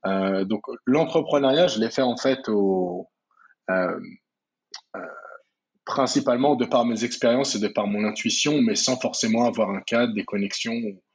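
A man's voice: medium pitch, moderate pace, breathy edge, muffled recording. Hesitant man from the Paris region. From France, Paris region. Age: 30s. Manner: hesitant, many fillers and restarts. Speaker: guest entrepreneur. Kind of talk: casual interview talk.

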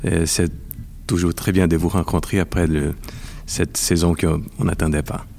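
A Brazilian man in his forties, a lawyer speaking French as a second language with a Brazilian Portuguese accent, in a careful, halting interview manner. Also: deep voice, steady tone, creaky, darkish tone, slight background noise. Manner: careful, halting with repeated words. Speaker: intellectual property lawyer. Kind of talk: podcast interview.

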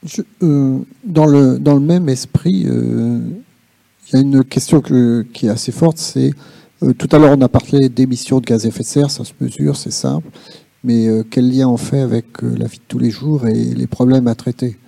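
A man speaking off the cuff at a public discussion, halting and reflective as he searches for his words. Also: medium pitch, fairly even intonation, creaky, dark tone, no echo. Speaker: audience participant in a policy workshop. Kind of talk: spontaneous spoken remarks at a public discussion.

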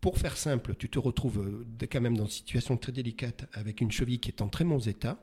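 A man's voice: medium pitch, fast talker, a little mellow, husky. A man hosting a casual interview, chatty, with many repeated words and fillers. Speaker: host. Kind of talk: casual interview, warm banter.

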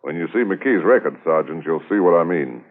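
Deep-voiced authoritative police inspector. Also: male voice, animated, brisk. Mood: assured. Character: confident, knowing senior male police inspector. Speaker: radio drama actor. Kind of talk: 1950s radio drama acting. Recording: thin, tinny 1950s radio recording.

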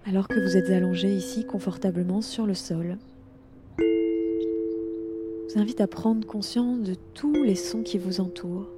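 A woman guiding a yoga nidra relaxation, speaking gently and soothingly in unhurried instructions with long pauses. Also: medium pitch, big pitch swings. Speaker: yoga nidra meditation guide. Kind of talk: guided relaxation meditation. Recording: loud meditation music under the voice. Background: loud instrumental relaxation music.

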